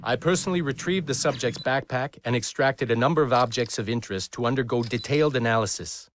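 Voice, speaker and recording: An actor playing a self-assured forensic team leader in a video game, dictating a formal, matter-of-fact report. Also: male voice, mid-range voice, fast talker, a bit bright, close to an everyday voice. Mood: clinical, matter-of-fact. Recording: noisy recorded log.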